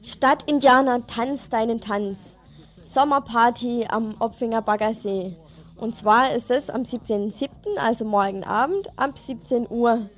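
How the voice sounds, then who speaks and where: A woman's voice: on the high side, a little slow. A woman presenting event tips on local radio in an informal, colloquial manner.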